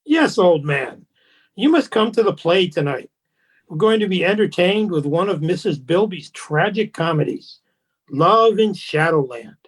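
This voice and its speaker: A suave, condescending gentleman acted by a man speaks in a radio drama. His voice sits a little high and has a husky grain. The delivery is genteel and patronizing. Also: expressive, measured pace, heavily acted, poor audio. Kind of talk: radio play acting.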